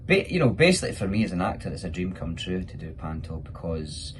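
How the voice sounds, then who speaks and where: A man's voice: low-pitched, very animated, quick pace, slight background noise. A Scottish man, a pantomime actor, answering children's questions in a casual, enthusiastic, informal way.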